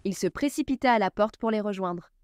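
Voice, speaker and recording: A woman narrating a children's Christmas story in a gentle storytelling manner. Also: mid-range voice, fairly quick, background noise. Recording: music bed behind narration.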